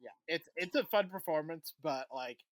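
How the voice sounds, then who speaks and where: A podcast co-host leading a casual chat about TV episodes, relaxed and conversational, often trailing off quietly. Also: mid-range voice, normal speed, dry recording.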